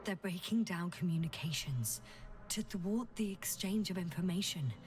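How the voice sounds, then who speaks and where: Game role: actress as patient, analytical woman scientist, measured delivery, noisy ambience. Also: lowish voice, lively intonation, a little mellow, natural voice. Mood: measured explaining. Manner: explanatory, measured, articulate. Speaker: scientist explaining a theory. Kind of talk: video game dialogue acting.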